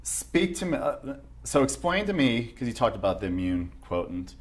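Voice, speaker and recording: A man's voice: medium pitch, big pitch swings, moderate pace. A man hosting a podcast, agreeing often and asking loose, casual questions. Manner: agreeable, frequent affirmations, asks loose questions. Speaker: podcast host. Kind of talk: casual interview chat.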